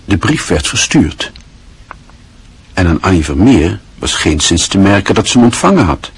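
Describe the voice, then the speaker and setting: A man narrating a radio play in a measured, expressive storytelling manner. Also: deep voice, slightly rough.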